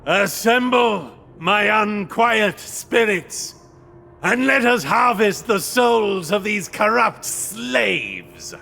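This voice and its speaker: A gravelly, grandiose ghost warlord from a fantasy game. He is very slow and menacing, swinging widely in pitch with a forceful, sharp edge. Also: expressive, exaggerated voice. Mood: commanding. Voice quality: gravelly, creaking, hissing. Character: imperious undead Nighthaunt spirit commander, cruel, disgusted by the living. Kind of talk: theatrical video game cutscene acting.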